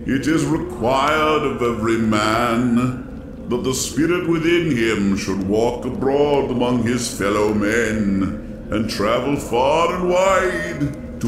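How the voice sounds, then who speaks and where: An actor in an audio drama playing the mournful, menacing ghost of a dead Victorian businessman, solemn and theatrical. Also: mid-range voice. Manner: slow, solemn, drawn-out theatrical delivery. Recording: echoing voice over noisy eerie ambience.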